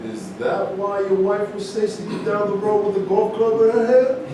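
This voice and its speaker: A man's voice: normal speed, lightly acted. A man in a staged reading, playing a skeptical former star who needles the others. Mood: needling. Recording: echoey room from far off, rumbling noise.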